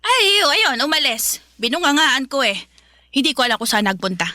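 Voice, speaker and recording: A woman's voice: high-pitched, sing-song, moderate pace, bright, forceful tone, no room sound, clean studio sound, heavily acted. An actress playing a pushy, nagging middle-aged Filipina mother in a radio drama, scolding and wheedling in a Filipino accent. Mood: brusque, defensive. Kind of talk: radio drama acting in a love story podcast.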